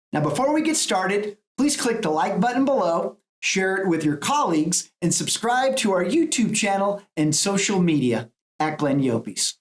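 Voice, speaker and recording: Lively, emphatic podcast host man. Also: sits high, echoey room. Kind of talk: scripted intro then interview questions.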